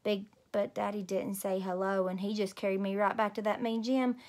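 A woman's voice: medium pitch, little pitch movement, moderate pace, a little mellow. A woman in her thirties reading a storybook aloud expressively as a host for young children. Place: small home room indoors.

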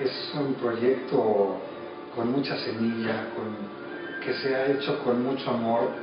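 A Mexican man in his thirties giving reflective interview answers in a halting way, repeating words as he searches. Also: mid-range voice, steady tone. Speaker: interviewee, radio drama actor. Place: small cluttered office. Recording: echoey room, distant mic, muffled, music behind.

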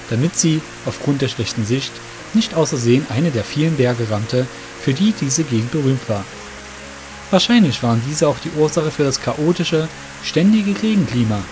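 A man narrating a scripted fantasy audio story in a descriptive storytelling manner. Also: mid-range voice.

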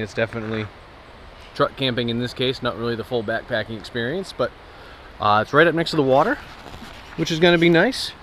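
A man's voice: medium pitch, a bit bright. Man vlogging outdoors, slight background noise. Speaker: vlogger, hike narrator. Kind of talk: casual vlog narration to camera. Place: outdoors in woods, on trails and riverbank. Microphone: action camera at arm's length. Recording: noisy outdoor action-camera audio.

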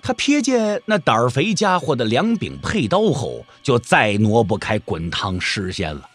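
A male storyteller narrates a martial-arts tale with dramatic, widely varied intonation. His voice is husky and rough-edged, with soft music playing behind it. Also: medium pitch, very animated, a little slow.

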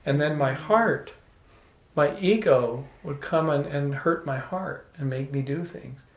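A middle-aged man telling an animated, playful story to a small audience, holding a handheld microphone. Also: fairly high pitch, unhurried. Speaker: salon speaker. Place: room with small live audience. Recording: echoey room.

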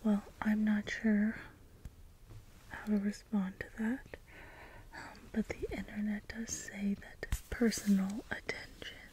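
A woman playing a gentle, naive, literal-minded robot caretaker in an ASMR role play, in a breathy whisper with halting phrases. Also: medium pitch, low-quality recording. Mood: tentative, caring. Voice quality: breathy whisper, mostly unvoiced. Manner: slow, halting, measured phrases, literal and matter-of-fact. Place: indoors, small room, close in front of backdrop. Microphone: close ASMR microphone.

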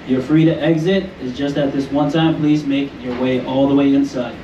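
Crew member, man, mellow voice, heard from afar with echo, polite announcements. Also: mid-range voice, fairly even intonation, moderate pace, muffled recording, a little hiss or hum. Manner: polite, rule-stating address to a group. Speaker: navy boat crew giving visitor rules. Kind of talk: public announcement to visitors. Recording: distant, echoey, with ambience.

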